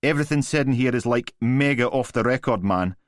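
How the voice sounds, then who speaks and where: A man's voice: mid-range voice, a little fast, no room sound, studio quality, voice pushed up, lightly acted. A male narrator voicing a scruffy middle-aged lawyer with a broad Glaswegian accent, offhand and slangy. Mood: breezy.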